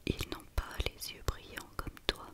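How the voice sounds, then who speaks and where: A cheeky, kind little girl from a storybook as whispered by a woman. She is sure of herself and gently teasing, speaking slowly in a bright, crisp whisper. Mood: assured. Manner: teasing, matter-of-fact.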